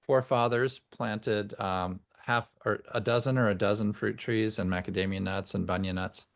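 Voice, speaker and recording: An older man chatting casually in a video meeting, halting and repeating words as he goes. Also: lowish voice, steady tone, vocal fry. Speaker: participant in a group video meeting. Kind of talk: casual chat.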